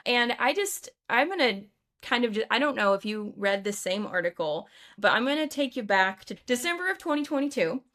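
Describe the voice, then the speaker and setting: A woman's voice: on the high side, very animated, fairly quick, a bit bright. A woman hosting a conversation about teaching, talking in an eager, chatty way. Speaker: host, English teacher. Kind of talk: casual conversation.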